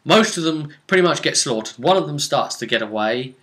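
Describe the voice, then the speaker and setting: A man recounting a tabletop role-playing session as game master, in a casual, rambling, animated storytelling way. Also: a little high, a little fast.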